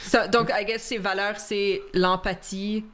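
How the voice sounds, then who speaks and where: Une femme qui coanime une discussion décontractée en direct, qui rit souvent et mélange des mots anglais à son français. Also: female voice, mid-range voice, fairly even intonation, a little slow, fairly bright tone. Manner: laughs often, mixes English words into French. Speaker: co-host. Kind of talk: casual chat on a live stream.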